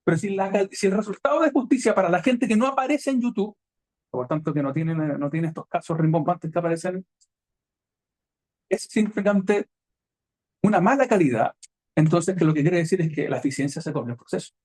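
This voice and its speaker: A man's voice: sits high, fairly quick, a little mellow. A man giving a critical, emphatic academic panel talk. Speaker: panel speaker. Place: university event hall.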